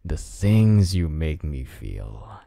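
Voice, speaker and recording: Deep, gravelly, slow-talking man. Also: big pitch swings, dry recording, clean studio sound. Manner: soft, intimate and teasing, with low whispers. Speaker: voice actor in a roleplay audio.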